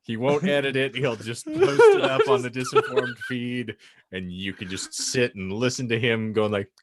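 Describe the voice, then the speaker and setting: A man's voice: mid-range voice, very animated, normal speed, slight background noise. A man hosting a podcast in joking banter, with a wordy, mock-formal manner and teasing sarcasm. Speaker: podcast host.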